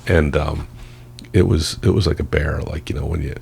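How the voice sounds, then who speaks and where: A man's voice: extremely low pitch, steady tone, moderate pace, vocal fry, a little mellow, a little hiss or hum. A man conducting a casual interview as a company boss, in an informal, chummy way. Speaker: company president interviewing an employee.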